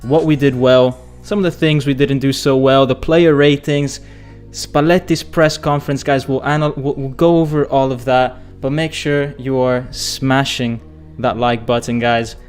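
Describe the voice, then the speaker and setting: A man hosting a live football fan stream, chatty and excitable, hoarse and losing his voice as he reads viewer comments. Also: mid-range voice, normal speed, soft background music. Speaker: football channel host.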